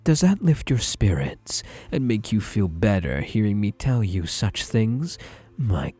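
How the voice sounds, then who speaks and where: Low, breathy possessive therapist played by a man. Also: big pitch swings, no echo, soft background music, full character voice. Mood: tender, doting. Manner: soothing, deliberate, hushed, drops into breathy murmurs. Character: possessive male therapist, softly controlling, doting and obsessive. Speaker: ASMR roleplay performer. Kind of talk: ASMR roleplay, scripted.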